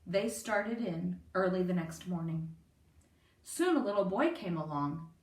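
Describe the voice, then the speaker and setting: A woman reading a children's picture book aloud in an expressive, emphatic way. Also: fairly low pitch, a little slow. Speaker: storyteller. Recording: roomy, distant microphone.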